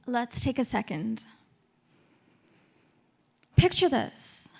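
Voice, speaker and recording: Slow, deliberate young woman speaker. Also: fairly high pitch, sing-song, creaky, a little mellow, slightly rough. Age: young adult. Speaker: student speaker. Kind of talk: spoken word performance.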